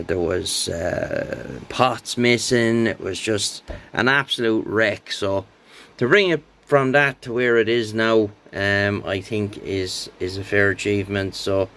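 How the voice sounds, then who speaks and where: A man giving a casual, rambling, understated hands-on show-and-tell as a hobbyist, with some hesitation. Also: lowish voice. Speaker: radio hobbyist presenting a rebuilt CB radio.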